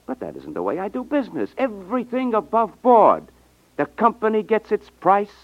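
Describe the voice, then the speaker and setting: A man's voice: high-pitched, wildly swooping pitch, normal speed, dry recording. A man acting in a 1950s radio drama as a brash, pushy, slangy salesman feigning honesty. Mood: brisk persuasive. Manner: slangy, insistent. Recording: muffled 1950s radio recording.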